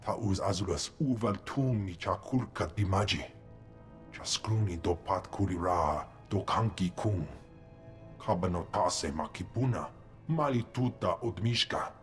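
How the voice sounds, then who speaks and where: Deep, slow alien crime boss. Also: male voice, reverberant, faint music behind. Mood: scheming. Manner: slow, deliberate delivery in an invented alien language. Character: scheming greedy alien crime boss speaking alien tongue. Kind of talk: video game cutscene acting.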